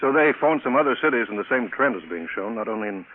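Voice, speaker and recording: An actor playing a brisk, businesslike male government chief in a 1950s radio drama. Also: medium pitch, animated, natural voice. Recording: tinny, faintly noisy vintage radio recording.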